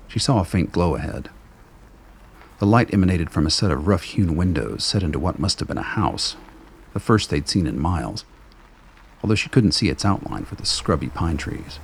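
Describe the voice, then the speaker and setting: A man with a gravelly, husky voice giving scripted dramatic narration for a radio play. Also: sits low, expressive, normal speed, a little hiss or hum.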